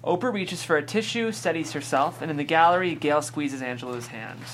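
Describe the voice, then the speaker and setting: Screenplay narrator, medium pitch, scripted stage directions, even descriptive reading. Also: fairly quick, a little hiss or hum.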